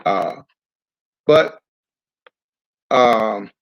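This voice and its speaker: Older man speaking slowly and haltingly. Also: lowish voice, animated, vocal fry, highs cut off. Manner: halting and deliberate, with frequent long hesitations. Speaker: community speaker recounting his experience as a pioneer. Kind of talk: public address, reminiscing unscripted.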